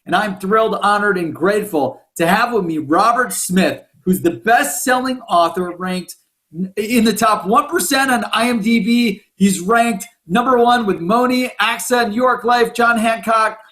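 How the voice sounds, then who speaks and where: Male podcast host, high pitch, upbeat and casual interview delivery. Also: unhurried, fairly bright tone.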